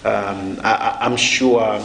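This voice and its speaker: A man hosting a TV interview, asking questions in a halting way and often stammering and repeating words. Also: lowish voice, steady tone, gravelly, a bit bright. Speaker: TV host. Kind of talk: casual interview. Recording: echoey room with faint noise.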